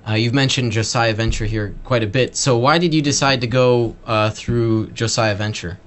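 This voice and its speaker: A man's voice: mid-range voice, steady tone. Curious male podcast host. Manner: curious, loose, quick bursts with many fillers. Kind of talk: casual interview talk.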